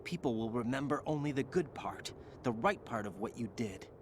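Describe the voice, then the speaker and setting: A man plays a young soldier in a mid-range, earnest voice, questioning and unsure of himself. Ambient game noise hums clearly behind him. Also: medium pitch, animated, moderate pace, lightly acted. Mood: troubled. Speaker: game character. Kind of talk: video game cutscene voice acting.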